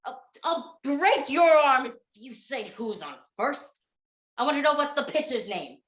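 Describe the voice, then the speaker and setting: A woman's voice: high voice, animated, a little slow, fairly bright tone, roomy sound. A woman playing an exasperated, bewildered comic sidekick in a 1940s radio comedy routine, stammering and insistent in her questions. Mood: flustered, exasperated.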